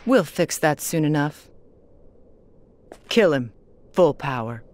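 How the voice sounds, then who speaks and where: A cold android villainess in an anime game. Her voice is low for a woman and menacing, slowly paced with sharp swings of intonation. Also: animated, slight background noise. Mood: cold menace.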